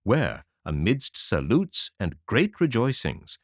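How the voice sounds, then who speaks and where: Expressive low-voiced male storyteller. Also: slow, no room sound. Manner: expressive, dramatic reading with wide swings. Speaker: audiobook narrator. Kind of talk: scripted storybook narration. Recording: dry close audiobook mic.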